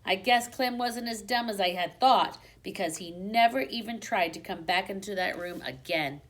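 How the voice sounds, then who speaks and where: A woman reads a children's storybook aloud at a medium pitch. Her narration is expressive and dramatic, with hushed drops that build suspense. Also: normal speed. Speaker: teacher reading a children's book aloud.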